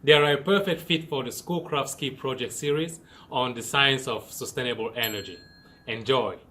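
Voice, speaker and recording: A man's voice: medium pitch, normal speed, sharp tone, a little hiss or hum. Earnest young Cameroonian man presenting. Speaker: student presenter. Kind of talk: scripted science presentation.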